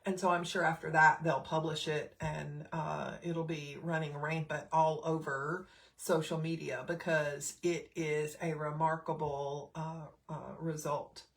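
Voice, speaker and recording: Woman, middle-aged, nurse hosting a live stream, slightly scratchy throat, chatty with fillers, lowish voice. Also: little pitch movement, measured pace, gravelly. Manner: chatty, many fillers, greets viewers by name. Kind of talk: casual live-stream talk.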